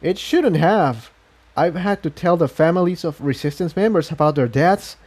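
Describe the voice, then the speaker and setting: A man voicing an anxious, apologetic female pony rebel in a storybook reading, speaking in a halting, earnest way. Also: fairly high pitch, a little mellow, voice pushed up, lightly acted. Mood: self-reproaching, relieved.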